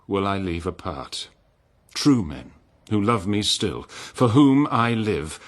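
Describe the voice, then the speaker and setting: A man's voice: deep voice, full character voice. An actor playing a stern, sorrowful, betrayed king in a declamatory verse drama. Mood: solemn sorrow. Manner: grave, declamatory.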